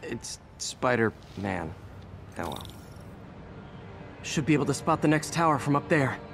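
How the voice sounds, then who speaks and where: An actor playing a quippy, awkward young superhero with an American accent in a video game, joking and stammering when flustered. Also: male voice, medium pitch, big pitch swings, unhurried, creaky, a bit bright, a little hiss or hum, exaggerated voice. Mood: friendly, wry. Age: young adult. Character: quippy, awkward, good-hearted young male masked superhero. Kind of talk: cinematic video game acting.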